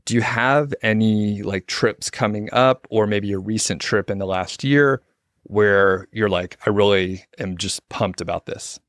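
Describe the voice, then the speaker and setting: A man's voice: fairly low pitch, unhurried, a bit bright, no room sound, clean studio sound. A man hosting a podcast, talking in a friendly, informal way with lots of casual fillers. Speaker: podcast host. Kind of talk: casual interview chat.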